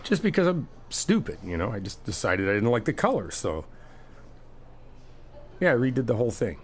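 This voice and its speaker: A man's voice: mid-range voice, sing-song, normal speed, slight background noise. A man doing a friendly ASMR roleplay, chatty and teasing, reassuring the listener as he helps out. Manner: reassuring, helpful.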